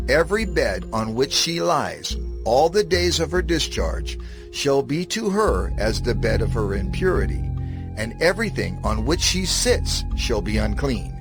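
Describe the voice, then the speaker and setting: A man narrating scripture from a script in a measured, formal manner. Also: mid-range voice. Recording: prominent music bed under narration. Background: music.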